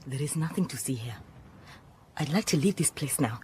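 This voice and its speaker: An actress playing an uneasy woman exploring in a radio drama, with a breathy, hushed voice. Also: sits low, expressive, normal speed, full character voice. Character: uneasy woman exploring a deserted dusty building.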